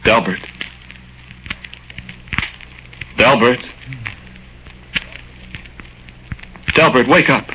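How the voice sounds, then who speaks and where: A man's voice: medium pitch, animated, fairly quick, natural voice. A man playing the spirit of a condemned man in a 1940s radio drama, anxious and turning to accusing outbursts. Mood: fearful. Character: condemned man's spirit, frightened then furious. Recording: scratchy lo-fi 1940s radio broadcast with hiss.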